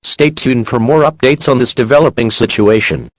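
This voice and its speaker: A man's voice: medium pitch, moderate pace, background noise. A man reading scripted emergency alert announcements in a formal, stern manner.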